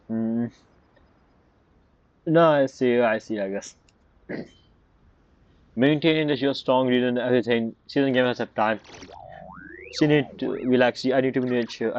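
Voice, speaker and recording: A man giving casual, halting let's-play commentary in non-native English phrasing. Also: medium pitch. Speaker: game streamer. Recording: muffled, game music behind.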